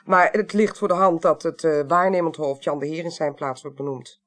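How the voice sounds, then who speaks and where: A woman's voice: low voice, normal speed, fairly bright tone. An actress playing a thoughtful, reserved female parliamentary clerk in a radio drama, musing and hesitant as she investigates. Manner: hesitant, musing, repeats words. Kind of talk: 1980s Dutch radio drama acting.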